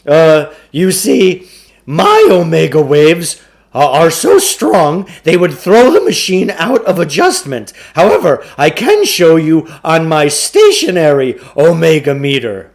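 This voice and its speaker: Guy doing a pompous pushy salesman for a kids book, high loud voice, swoops all over, theatrical. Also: big pitch swings, a little slow, vocal fry, heavily acted. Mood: evasive bluster. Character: blustering, wheedling huckster salesman peddling mind-control gadgets.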